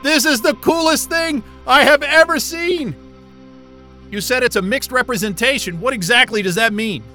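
An actor playing an excitable, sarcastic, foul-mouthed astrophysicist in a naturalistic audio drama. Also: male voice, very high-pitched, moderate pace, soft background music. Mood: amazed.

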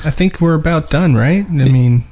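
A man's voice: a little high, normal speed, heavy background noise. A man co-hosting a podcast with casual, joking banter. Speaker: podcast co-host.

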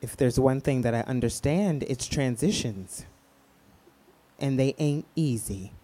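An actor playing a sassy, blunt, defiant radio co-host with a snappy, sardonic, slangy way of talking in an audio drama. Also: male voice, medium pitch, unhurried, warm tone, close to an everyday voice. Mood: pointed. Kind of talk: naturalistic audio drama acting.

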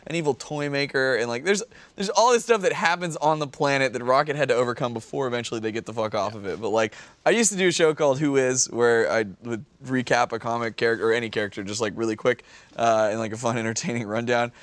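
A man's voice: mid-range voice, fast, fairly bright tone, dry recording. A man on a podcast panel telling stories casually, with slangy, sweary wording and lots of "like". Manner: slangy, sweary, heavy use of "like". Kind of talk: casual, informal storytelling chat.

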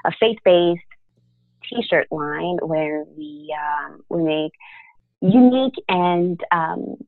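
A woman in her thirties whose first language is French talks casually as a podcast guest, hesitant and filling pauses with ums. Her voice sits a little low. It comes through a tinny, dry phone line. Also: dry recording. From born in Africa, grew up in Quebec, Canada. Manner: hesitant, many ums, laughs easily. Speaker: podcast guest, business owner. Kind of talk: casual interview chat.

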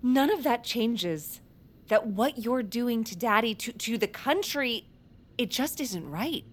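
An actress playing an anxious, wounded grown daughter in an audio drama, halting and pleading. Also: female voice, on the high side, lively intonation. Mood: defensive, hurt. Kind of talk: audio fiction drama acting.

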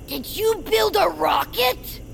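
A woman's voice: extremely high pitch, animated, very slow, gravelly, background noise, heavily acted. A woman voicing an eager, pleading young bird-like creature in a cartoon audio drama. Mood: dismayed, pleading.

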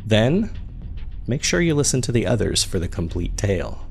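A man hosting a podcast, reading scripted credits and announcements in a measured, informal way. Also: lowish voice, sing-song, gravelly, faint music behind. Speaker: podcast host.

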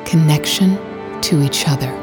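An actress playing a poised, visionary tech company founder in an audio drama, delivering a measured, reassuring promotional monologue. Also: female voice, low-pitched, natural voice. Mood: reassuring, persuasive. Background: music.

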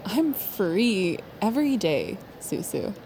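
A woman playing an aloof, sardonic teenage shop girl in an audio drama, with a deadpan, biting delivery. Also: mid-range voice, takes it slowly, slight background noise, rough recording. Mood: cool sarcasm. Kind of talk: naturalistic audio drama acting.

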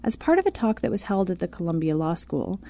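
A woman narrating scripted introductions in a measured, polished way. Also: on the low side, sing-song, dark tone.

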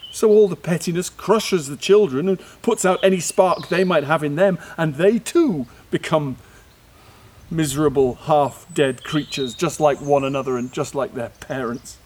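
A man's voice: on the high side, animated, measured pace, a little mellow, slightly rough. An actor playing a disillusioned middle-aged father, lamenting earnestly in a naturalistic audio play. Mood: impassioned. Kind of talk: naturalistic audio drama monologue.

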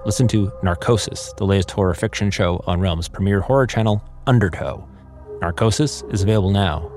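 Low-voiced man narrating a horror promo. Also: fairly quick, music in the background. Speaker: promo announcer. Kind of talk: dramatic scripted horror trailer narration.

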